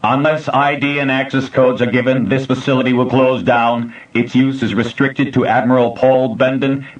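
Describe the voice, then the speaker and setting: A man's voice: medium pitch, flat delivery, moderate pace, reverberant, distant mic, highs cut off, voice pushed up, natural voice. A man voicing an ancient computer system that speaks formally without inflection. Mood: formal, toneless. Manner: formal, toneless.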